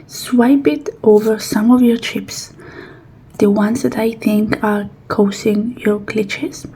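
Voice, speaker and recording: A woman whispering softly and breathily in an ASMR roleplay as a technician, with a gentle, reassuring and unhurried manner. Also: on the high side, slight background noise, poor audio.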